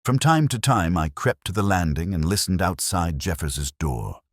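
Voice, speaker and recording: A man narrating a scripted mystery story in the first person, in a measured, solemn and dramatic manner. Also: sits low, animated, no echo, studio quality.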